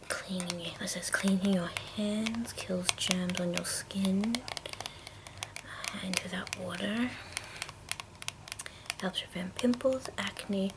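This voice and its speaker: A woman speaking in a hushed, breathy whisper, casually rambling through products in an unhurried ASMR style. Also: mid-range voice, heavy background noise. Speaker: ASMR video maker.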